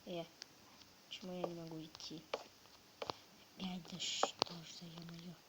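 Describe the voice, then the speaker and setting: A woman with a sore throat, slightly ill, quietly muttering a casual commentary on the game she is playing. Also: fairly low pitch, little pitch movement, a little slow. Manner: quiet, half-whispered muttering to herself. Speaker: gamer narrating her play. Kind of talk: casual let's-play commentary. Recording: loud background noise.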